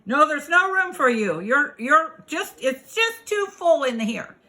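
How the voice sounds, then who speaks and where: An eager little forest animal from a children's storybook, acted out by a woman. The voice is high and bright. The delivery is childlike, exclaiming and sing-song. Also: sing-song, measured pace, exaggerated voice. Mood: pleading, exclaiming. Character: small forest animals, eager, chilly, pleading to squeeze in.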